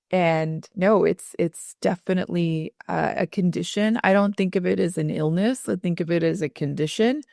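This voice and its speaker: A woman attorney from South Texas talking candidly as an interview guest, earnest and reflective, often pausing with fillers and restarting her sentences. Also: lowish voice, gravelly, no echo, studio quality. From Rio Grande Valley, Texas.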